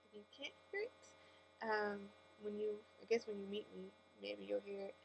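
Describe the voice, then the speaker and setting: A woman with a lisp and a mid-pitched voice shares a casual faith vlog. She talks candidly and emphatically, often restarting her sentences. A faint hum runs behind her voice. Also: moderate pace, creaky, poor audio. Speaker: vlogger with a faith-based message. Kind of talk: casual personal testimony to camera. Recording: steady mains hum and background noise.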